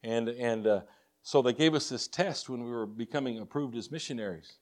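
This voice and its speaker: A man's voice: mid-range voice, moderate pace, a little mellow. An older man with a Texas drawl preaches to a congregation, weaving folksy personal stories into his sermon. He talks earnestly, often restarting and repeating words as he goes. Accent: Texan. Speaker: missionary preacher.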